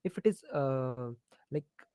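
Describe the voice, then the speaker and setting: An Indian man teaching maths over an online call, speaking through faulty headphones in a dry, close sound with no room around it. He hesitates and says "uh" often, and drifts between English and Hindi as he explains. His pitch sits in an ordinary middle range. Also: lively intonation, slow pace, dark tone, clean tone, dry recording, dull audio. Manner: hesitant, many fillers, switching into Hindi mid-sentence. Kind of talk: online class lecture. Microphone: faulty headphones on an online call, voice low.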